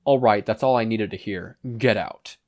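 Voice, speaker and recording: A man's voice: lowish voice, moderate pace, natural voice. A man voicing an imperious male supervillain with a curt, commanding manner in a fan fiction reading.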